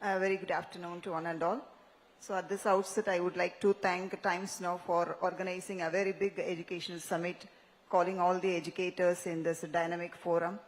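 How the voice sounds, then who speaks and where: A woman's voice: fairly low pitch, level tone, brisk. A woman with an Indian English accent giving formal, earnest remarks as a summit panelist. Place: large conference hall. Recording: reverberant hall.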